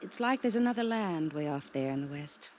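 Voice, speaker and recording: A woman's voice: low voice, expressive, a little fast, a little mellow. An actress playing a lonely, wistful and guarded young woman in a 1950s radio drama. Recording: noisy, muffled 1950s radio recording.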